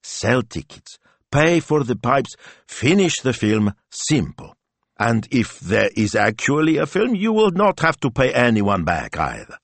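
A man's voice: mid-range voice, big pitch swings, unhurried, no room sound, voice pushed up. A man voicing a shrewd, bossy Italian wife who talks in a practical, decisive, chiding way in an audiobook. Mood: brisk, decisive. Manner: chiding, matter-of-fact, decisive.

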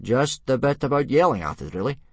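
A man's voice: mid-range voice, sing-song, a little fast, slightly rough, dry recording, voice pushed up. A man voicing a self-important Viking warrior in an audiobook, emphatic and bellowing with great solemnity.

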